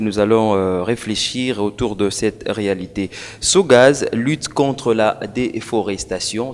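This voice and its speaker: A man's voice: lowish voice, a little mellow. Male interviewer in a reverberant room. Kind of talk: studio interview. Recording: reverberant room with faint noise.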